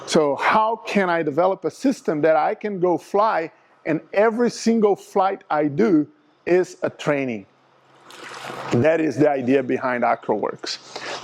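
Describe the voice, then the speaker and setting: A man's voice: high-pitched, creaky, darkish tone. A middle-aged man from Brazil with a Brazilian Portuguese accent, giving a presentation to a flying club and often checking with the audience. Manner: explanatory, asks audience for agreement. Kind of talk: slide presentation talk.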